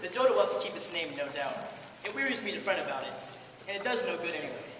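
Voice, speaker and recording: A woman's voice: on the low side, very animated, fast talker. A young woman playing a grumpy, solitary, put-upon first man in an amateur stage play, complaining in an exasperated, pompous way. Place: large echoey hall, live stage performance. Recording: echoey hall, distant mic, noisy.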